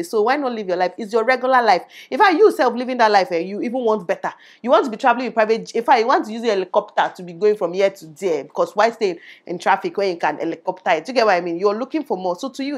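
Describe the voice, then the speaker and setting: Outspoken young Nigerian woman vlogger. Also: mid-range voice. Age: young adult. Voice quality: small voice. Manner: animated, opinionated, loud. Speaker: YouTuber. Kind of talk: casual opinion vlog. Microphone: lavalier.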